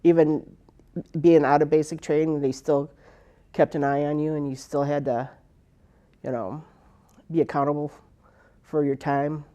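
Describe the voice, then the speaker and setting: A woman's voice: low voice, steady tone, unhurried, pauses often, dark tone. An older woman, an Air Force veteran, recounting her service in a rambling oral history interview full of fillers and restarts. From United States.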